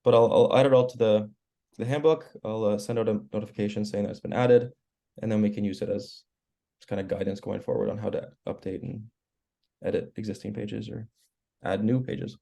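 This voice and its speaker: Hoarse man explaining on a work call. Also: fairly low pitch, fairly quick. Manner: relaxed, explanatory, clears throat often. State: hoarse, losing his voice. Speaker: web team member giving training. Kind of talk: screen-share walkthrough on a work call.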